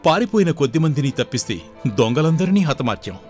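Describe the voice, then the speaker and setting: An actor playing a brave, humble young prince in a folk tale, speaking respectfully and formally. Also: male voice, a little high, very animated, fast, darkish tone, soft background music. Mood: earnest recounting. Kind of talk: dramatised Telugu folk tale.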